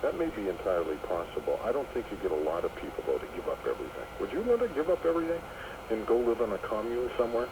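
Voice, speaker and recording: A man hosting a call-in radio show, talking in a measured, conversational way. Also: lowish voice, very animated. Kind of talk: late-night call-in talk. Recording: shortwave radio broadcast with heavy static.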